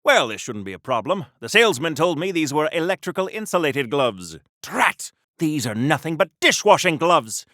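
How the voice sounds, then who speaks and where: A man's voice: fairly high pitch, big pitch swings, moderate pace, few pauses, pushed and bright, no room sound, studio quality. A man voicing a wholesome, pompous 1940s patriotic superhero for a video game, with earnest, hammy delivery and quaint old-fashioned exclamations. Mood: chipper, folksy.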